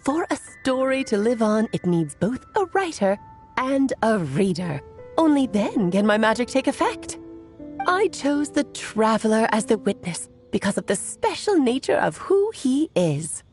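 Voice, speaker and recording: A woman's voice: a little high, sing-song, measured pace, darkish tone, soft background music. A woman voicing a playful, theatrical witch in a video game, teasing and amused.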